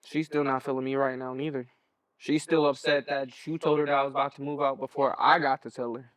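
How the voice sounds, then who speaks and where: A man playing a calm young adult son in a stage play, casual and reassuring. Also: medium pitch, fairly quick, no echo, natural voice, rough recording. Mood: matter-of-fact.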